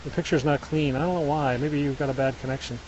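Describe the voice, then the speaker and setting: A man's voice: mid-range voice, steady tone, quick pace, darkish tone, muffled recording, slight background noise. A man from Illinois with a Midwestern accent, casually chatting to viewers while he walks outdoors on a mountain road. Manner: chatty, explanatory, answering viewers. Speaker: vlogger live-streaming a hike. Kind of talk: casual walking livestream chat.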